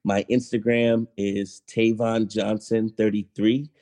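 A young man, a musician guest on a podcast, speaking in a relaxed, matter-of-fact way. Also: fairly low pitch, slow pace. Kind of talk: casual interview chat.

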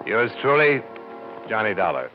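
A man's voice: on the low side, big pitch swings, moderate pace. An American man playing a tough, terse insurance investigator in a 1950s radio drama, narrating in the first person. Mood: terse, wry. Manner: terse, hard-boiled. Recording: muffled 1950s radio recording with loud music.

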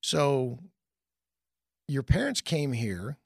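A man's voice: medium pitch, sing-song, extremely slow, creaky, breathy edge, no room sound, studio quality. A man hosting an interview podcast, talking conversationally with frequent restarts. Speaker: podcast host.

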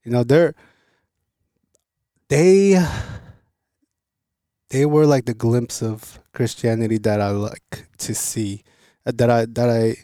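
A man from Korea raised in Los Angeles, telling his story as a guest in a relaxed, repetitive way. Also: medium pitch, slow, pauses often, dry recording, clean studio sound. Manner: relaxed, repeats words while thinking. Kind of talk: casual interview.

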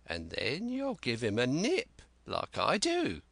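A man reading an audiobook and voicing a rambling, excitable old castaway who speaks in rustic dialect. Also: medium pitch, slow, vocal fry, dry recording, full character voice. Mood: confiding. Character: wild-eyed old male castaway, rambling and eager.

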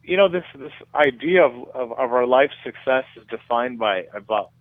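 A middle-aged man giving measured, conversational explanations as a guest in a radio interview, often repeating words as he goes. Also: mid-range voice, animated, dry recording, phone-quality sound. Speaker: guest researcher being interviewed.

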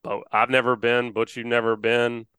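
A man hosting a casual fishing podcast, rambling and energetic with plenty of fillers. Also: mid-range voice, normal speed, clear, resonant tone, no echo, studio quality. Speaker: podcast host. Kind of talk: casual chat.

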